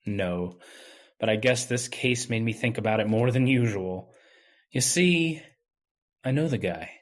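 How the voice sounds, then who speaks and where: A man's voice: medium pitch, expressive, unhurried, close to an everyday voice. A man playing a wronged young medical intern, bitter and brooding, in old-time radio drama style.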